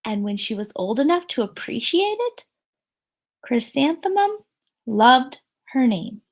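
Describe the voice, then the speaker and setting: A woman's voice: high voice, sing-song, measured pace. A woman reading a children's picture book aloud, expressively, drawing out key words for emphasis. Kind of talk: expressive read-aloud storytelling.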